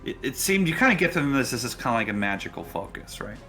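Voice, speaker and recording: A man's voice: mid-range voice, very animated, quick pace, bright, forceful tone, faint music behind. A man narrating a tabletop roleplaying game as the game master.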